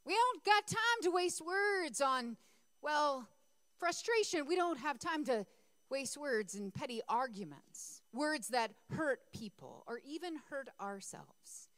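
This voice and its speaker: A woman preaching with a hoarse, worn voice that sits high and swings widely in pitch. She talks to her congregation in a chatty way and asks them to respond. The sound is close and dry. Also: sing-song, slow, fairly bright tone, no echo. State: losing her voice. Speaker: pastor. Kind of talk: sermon.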